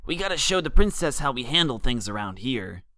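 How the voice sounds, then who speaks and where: A man's voice: mid-range voice, sing-song, brisk, sharp tone, no echo. A brash young pegasus mare, acted out by a man for a storybook reading. The talk is slangy, cocky and playfully teasing. The recording is dry, with very little room sound. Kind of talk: fan fiction audiobook character voice.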